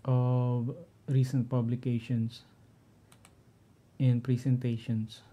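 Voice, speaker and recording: A middle-aged man with a Filipino accent, talking through his home network setup in a halting way, often clearing his throat. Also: mid-range voice, flat delivery, highs cut off. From Philippines. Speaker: tech vlogger. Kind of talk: casual screen-share walkthrough.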